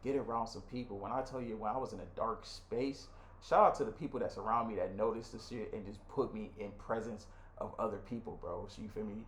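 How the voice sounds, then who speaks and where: Young man, American accent, casual co-host chat, slangy and animated, echoey room sound. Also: on the low side, fairly quick. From Nigeria, left at six for the United States. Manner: animated, slangy, often addressing his friend as bro. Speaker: podcast co-host. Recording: echoey room with faint noise.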